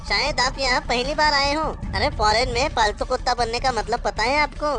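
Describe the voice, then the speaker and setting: High voice, eager begging cartoon character acted by a woman, chatty, music behind. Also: normal speed, lightly acted. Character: pleading, chatty soul eager to be a pet dog. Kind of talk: Hindi comedy cartoon dialogue.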